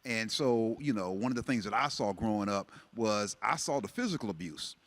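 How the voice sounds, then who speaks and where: A man's voice: on the low side, animated, normal speed, a bit bright. A man born in Jamaica and raised in the United States, giving earnest, reflective personal testimony on a panel. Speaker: relationship panel guest.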